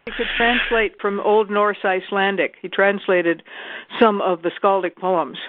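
A woman's voice: medium pitch, steady tone, moderate pace, no echo. Knowledgeable woman on a phone line. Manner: knowledgeable, precise, corrects herself. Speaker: guest, literary scholar. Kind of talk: casual book discussion. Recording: dry tinny phone line.

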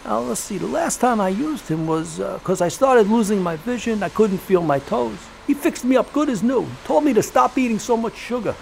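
A man's voice: high-pitched, expressive, normal speed, vocal fry, few pauses, darkish tone, a little hiss or hum, rough recording. A man playing a sly, menacing American crime boss in a period gangster audio drama, full of streetwise slang and theatrical glee. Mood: sly, amused.

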